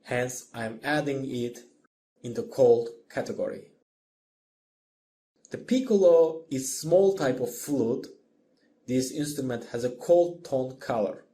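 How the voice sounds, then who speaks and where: A man narrating a scripted music lesson as an instructor, in a measured, plainly explanatory way. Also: mid-range voice, lively intonation, mellow.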